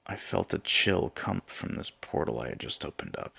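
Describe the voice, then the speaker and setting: A man narrating a scripted first-person horror story in a measured, suspenseful way. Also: extremely low pitch, expressive, creaky, bright, forceful tone, slightly rough, dry recording.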